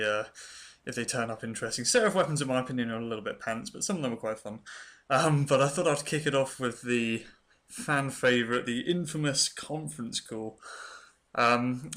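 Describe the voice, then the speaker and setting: A man's voice: mid-range voice, fairly quick, a bit bright. Casual British man, understated gaming commentary. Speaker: gaming video creator and host. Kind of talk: casual unscripted game showcase commentary.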